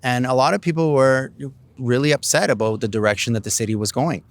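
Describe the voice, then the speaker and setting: A man's voice: medium pitch, quick pace, dry recording. A middle-aged Canadian man, a city council candidate, answering interview questions in a rambling way with many fillers and restarts.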